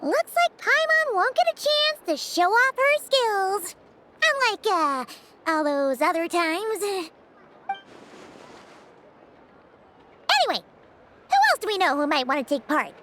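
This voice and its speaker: A woman voicing a tiny floating female fairy companion in a video game, bubbly and greedy, with a high squeaky childlike voice and a chatty, excitable manner. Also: normal speed, a little hiss or hum, heavily acted. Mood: teasing. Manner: excitable, chatty, swings pitch wildly.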